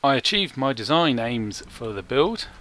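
A man giving measured, explanatory video game commentary in a self-deprecating way, recorded as a close-mic voice-over. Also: mid-range voice, big pitch swings, bright, forceful tone. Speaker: gaming video creator.

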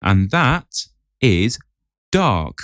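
A man acts as a casual podcast quizmaster. His voice sits a little low, and the sound is very dry and free of room echo. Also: big pitch swings, drawn-out words, a bit bright. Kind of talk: casual quiz hosting.

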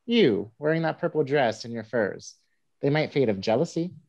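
A man in a play reading, voicing a gentle, besotted newspaperman of the Old West. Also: medium pitch, lively intonation, moderate pace, gravelly, highs cut off. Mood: fond. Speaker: actor in an online staged reading. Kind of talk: scripted stage play reading. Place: indoors at home, on a group video call.

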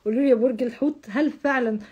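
A woman in her thirties with an Egyptian Arabic accent gives a casual horoscope reading to her viewers at home. Her voice is husky and hoarse from shouting, and she repeats words as she speaks straight to the viewer. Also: a little high, racing, lo-fi sound. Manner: chatty, repeats words, addresses the viewer directly, gestures with hands. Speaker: astrology channel host. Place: home room with curtain backdrop.

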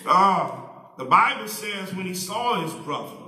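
High-pitched whooping preacher in a church. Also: male voice, lively intonation, unhurried, sharp tone. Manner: whooping, sing-song preaching. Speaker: pastor. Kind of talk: sermon. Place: large church sanctuary, at a pulpit. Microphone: handheld mic. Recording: echoey sanctuary with long reverb.

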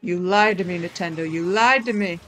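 A woman's voice: fairly low pitch, fairly quick, a bit bright. A woman co-hosting a gaming video, commenting casually with joking, mock-dramatic delivery. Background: music.

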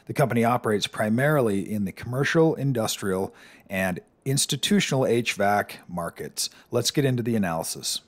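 A man's voice: lowish voice, expressive, moderate pace, no echo. A man hosting a stock analysis lesson, talking casually through charts on screen, with frequent fillers and restarts.

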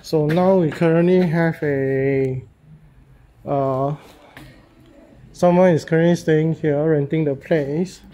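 Man, slow unhurried delivery, fairly high voice, hesitant phrasing, filmed on a handheld phone. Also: steady tone, dark tone, slight background noise. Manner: halting, repetitive phrasing with many fillers. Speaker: real estate agent. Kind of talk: casual property walkthrough. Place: walking through a house and yard, in and out of doors.

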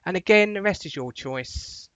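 A man narrating an informal software tutorial in a chatty, directive way, stressing the starts of his phrases. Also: high-pitched, expressive, moderate pace, no echo, lo-fi sound. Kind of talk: informal instructional screencast narration.

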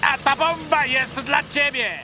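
Game dwarf aviator: very high voice, cocky and excitable, unhurried exclamatory barks over engine noise. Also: male voice. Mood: cocky, gleeful. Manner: exclamatory, boastful, theatrical short barks. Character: cocky, excitable male dwarf gyrocopter pilot. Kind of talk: video game unit quotes, Polish dub. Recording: loud engine noise behind voice.

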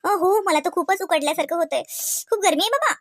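Woman as high-voiced, showy cartoon sister-in-law. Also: a little fast, fairly bright tone, a little hiss or hum. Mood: fussy. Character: boastful, fussy city sister-in-law. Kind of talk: comedy cartoon voice acting.

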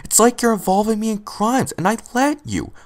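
A man voicing a timid, slow-witted rat brother in a cartoon fandub, worried and hesitant. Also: high-pitched, sing-song, voice pushed up. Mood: anxious. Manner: hesitant, anxious. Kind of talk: animated film fandub acting.

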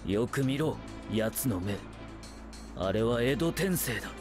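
A composed young anime game commander, stern and deliberate in delivery. The voice sits at a middle pitch with clear music behind it. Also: male voice, lively intonation. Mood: serious, analytical. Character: serious, composed young male ninja commander. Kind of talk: Japanese anime game voice acting. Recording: background music bed.

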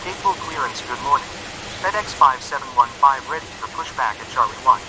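Man playing game airport radio operator, very quick, formal, impersonal, clear hiss and aircraft noise. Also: medium pitch, expressive, lightly acted. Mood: businesslike. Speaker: airline pilot and air traffic controller. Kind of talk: clipped procedural aviation radio phraseology.

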